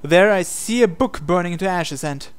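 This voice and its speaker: Clear, higher-pitched male narrator. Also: normal speed. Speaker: gaming channel host. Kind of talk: reading visual novel narration aloud.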